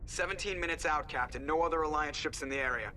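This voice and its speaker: A man playing a brisk, focused spaceship pilot in a video game, reporting in a clipped, procedural way. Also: on the high side, a little hiss or hum. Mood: businesslike. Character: brisk, focused male warship pilot in science fiction. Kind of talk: video game cutscene acting. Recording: loud aircraft engine roar behind.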